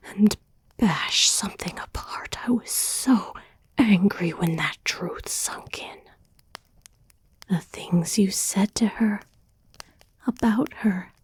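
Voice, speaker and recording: A woman playing an obsessive, wounded, possessive girlfriend in an intimate, halting, pleading ASMR whisper. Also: medium pitch, a little mellow, slightly rough, exaggerated voice. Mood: seething jealousy. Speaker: ASMR voice actor. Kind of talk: whispered ASMR roleplay monologue.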